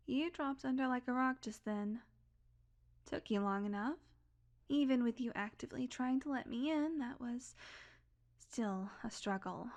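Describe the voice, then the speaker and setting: Female vampire, woman actor, higher-pitched, unhurried delivery; sardonic, blunt, guardedly caring; intimate audio roleplay. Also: a little mellow. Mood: wry, caring. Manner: sarcastic, blunt, coaxing, sighing, deliberate pauses.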